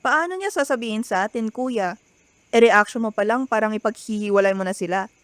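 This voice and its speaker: A woman's voice: fairly high pitch, a little fast, dry recording, natural voice. A woman with a Filipino accent playing an outspoken young sister in a radio drama. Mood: scolding. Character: outspoken young sister scolding her big brother.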